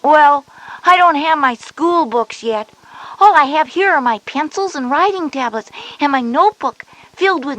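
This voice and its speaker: A woman's voice: high-pitched, a bit bright, full character voice, low-quality recording. A woman playing a knowing, reassuring older sister of school age in a children's educational audio drama.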